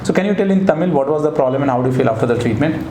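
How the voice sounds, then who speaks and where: A man's voice: a little high, expressive, rapid-fire. A man with an Indian accent conducting a clinical interview as a voice therapist. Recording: roomy echo, loud background noise.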